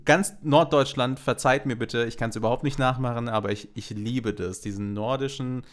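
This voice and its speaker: A man's voice: medium pitch, steady tone, normal speed. Laid-back man with an NRW German accent. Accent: North Rhine-Westphalian German. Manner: blunt, easygoing, slangy. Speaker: podcast co-host. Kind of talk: casual chat.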